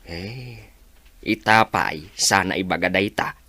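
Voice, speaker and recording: A man's voice: deep voice, slow, bright, forceful tone, dry recording, full character voice. A man acting a questioning older village father in an Ilocano radio drama. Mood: troubled.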